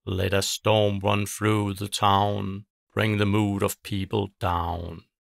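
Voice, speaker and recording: A man's voice: lowish voice, level tone, gravelly, no echo, clean studio sound. A man voicing a grumpy, spiteful, scheming female witch in a children's storybook, with a theatrical, menacing manner. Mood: menacing chanting. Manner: theatrical, menacing, drawn-out incantations.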